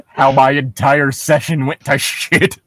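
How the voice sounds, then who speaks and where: Man, lively swinging intonation, mid pitch, dry close sound, joking, sweary, casual comedic commentary. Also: no room sound. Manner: joking, swearing, breaking into laughter. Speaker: co-host. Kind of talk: casual comedic commentary on internet horror stories.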